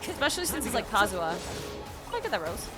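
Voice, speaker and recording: A woman's voice: fairly high pitch, sing-song, fast talker, loud music behind the voice. A woman streamer giving casual live reactions while playing a video game.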